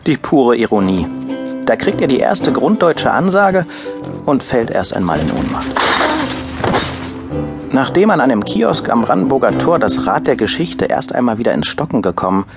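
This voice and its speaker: An actor playing a wry TV filmmaker, narrating a radio play in the first person with dry irony and a creaky voice. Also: male voice, medium pitch, big pitch swings, normal speed, over music. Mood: ironic. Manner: dry, ironic storytelling. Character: wry TV filmmaker narrating his story, adult man.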